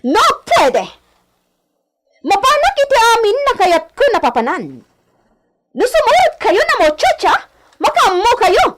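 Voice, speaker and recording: A woman's voice: very high-pitched, very animated, measured pace, long pauses, a bit bright, full character voice. A woman playing an imperious young heiress in a radio drama, with an Ilocano accent and a commanding, haughty manner peppered with Spanish words. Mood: imperious, impatient.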